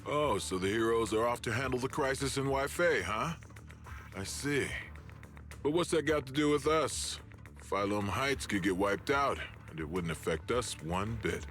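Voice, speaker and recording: Cocky game villain lackey, sneery and smug, bright sharp tone, a man voicing him. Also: mid-range voice, expressive, normal speed, soft background music, natural voice. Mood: taunting. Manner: taunting, sneering.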